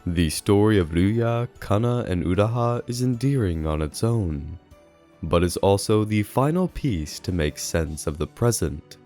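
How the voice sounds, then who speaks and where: A man's voice: lowish voice, animated, a little slow, warm tone. A man reading a scripted review as narration, in an earnest and formally worded way. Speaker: video essay reviewer. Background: music under the voice.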